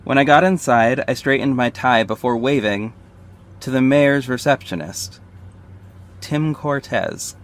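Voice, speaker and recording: A man's voice: medium pitch, a little slow, natural voice. A man playing a confident, flirty detective and secret vigilante, narrating an audio drama in the first person, conversational and confiding. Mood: wry, relaxed. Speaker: narrator and lead character. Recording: faint background noise.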